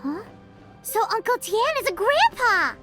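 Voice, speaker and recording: An actress voicing a tiny childlike fairy companion in a video game, squeaky, chirpy and pushy. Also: female voice, big pitch swings, unhurried, exaggerated voice. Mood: excited. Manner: squeaky, whiny, exclaiming. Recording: loud music under the voice.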